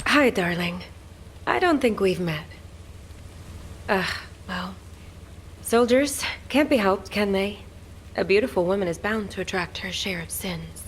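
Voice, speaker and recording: An actress playing a sly, flirtatious former gang fixer in a video game, with a teasing, sultry, confident manner. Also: female voice, medium pitch, measured pace, pauses often, slight background noise, natural voice. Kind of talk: video game character acting.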